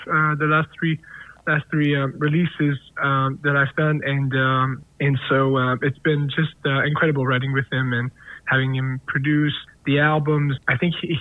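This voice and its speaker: A singer-songwriter whose first language is French, answering interview questions in a rambling way, full of fillers and restarts. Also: male voice, medium pitch. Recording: dry tinny phone line.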